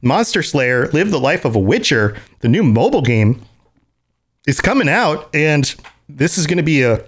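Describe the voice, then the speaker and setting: An American man co-hosting a podcast, chatting casually and reading event listings aloud, with animated jumps to high excited exclamations. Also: moderate pace, husky. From United States. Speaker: podcast co-host.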